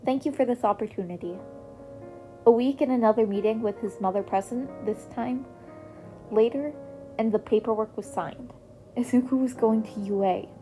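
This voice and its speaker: A woman's voice: mid-range voice, warm tone. A woman narrating a scripted story expressively, as a reader of fan fiction. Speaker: podfic narrator.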